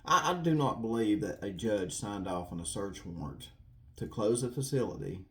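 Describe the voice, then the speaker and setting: A man's voice: fairly low pitch, expressive, moderate pace, fairly bright tone. A man giving casual, rambling commentary to viewers in an earnest, folksy way, repeating himself for emphasis. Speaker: true crime video host.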